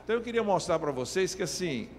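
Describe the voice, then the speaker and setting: Middle-aged man on a conference mic, fairly high voice, hesitant delivery, long hall reverb. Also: expressive, fast talker, slight background noise. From Maranhão, Brazil. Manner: hesitant, many fillers and restarts. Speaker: keynote speaker. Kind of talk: conference presentation.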